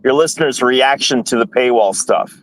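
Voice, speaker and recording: A man's voice: mid-range voice, moderate pace, dry recording, low-quality recording. A man in his forties co-hosting a sports show with casual, joking banter and a teasing way of talking. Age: 40s.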